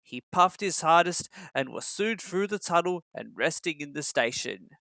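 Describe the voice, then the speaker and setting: A man's voice: on the high side, expressive, moderate pace, creaky, pushed and bright, no room sound. A man reading a children's story as a scripted narration.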